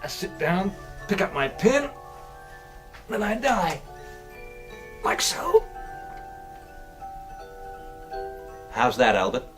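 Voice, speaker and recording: A man's voice: sits high, huge pitch swings, takes it slowly, faint music behind, full character voice. An actor with a British accent playing a bossy, theatrical, wily doctor who explains like a showman in a radio drama. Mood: triumphant, showy. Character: commanding, theatrical, wily gentleman doctor turned amateur sleuth.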